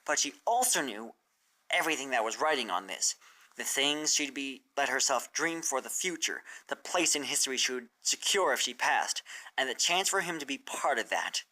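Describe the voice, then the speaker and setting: An expressive narrator reading a story aloud in storybook style. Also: medium pitch, moderate pace, poor audio. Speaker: fan fiction narrator.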